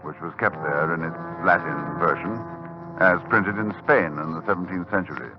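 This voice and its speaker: An actor playing a learned, horrified librarian, narrating a 1940s radio horror play in a measured, formal, dramatic way. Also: male voice, low voice, close to an everyday voice. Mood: appalled. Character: learned, horrified university librarian recounting events. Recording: muffled 1940s radio recording, music behind.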